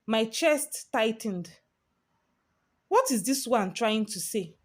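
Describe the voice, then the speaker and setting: A woman's voice: fairly high pitch, lively intonation, takes it slowly. A woman storyteller giving a dramatic, scripted first-person narration.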